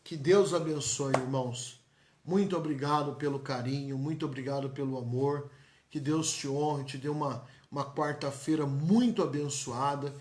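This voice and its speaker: Echoey-voiced São pastor. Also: male voice, mid-range voice, moderate pace. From São Paulo, Brazil. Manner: emphatic and repetitive, folksy asides to viewers. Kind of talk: live-streamed church announcements and blessings. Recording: echoey church room.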